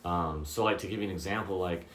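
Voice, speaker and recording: A man with a very low voice giving a casual guitar lesson, checking in often with "okay?" and "does that make sense?". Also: fast, roomy sound, a little hiss or hum. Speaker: guitar teacher.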